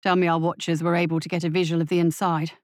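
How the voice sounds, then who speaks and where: A woman playing Captain Bryden, stern and low-voiced. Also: flat delivery, fast, dry recording, clean studio sound, close to an everyday voice. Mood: tense, demanding. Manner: curt, commanding. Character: stern, principled male police captain, defiant and authoritative.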